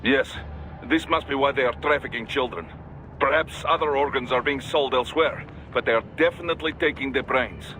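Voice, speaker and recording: An actor playing a stern, pragmatic male mission adviser in a video game, measured and analytical in his delivery. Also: mid-range voice, sharp tone, soft background music, lightly acted. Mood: grave. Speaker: mission support. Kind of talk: English video game voice acting.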